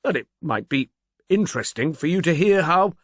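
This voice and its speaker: A man's voice: fairly high pitch, expressive, moderate pace, no echo, studio quality, pitched above the performer's own voice. Man voicing a hesitant, submissive policeman. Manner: cautious, tentative. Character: hesitant, submissive policeman, eager but easily abashed.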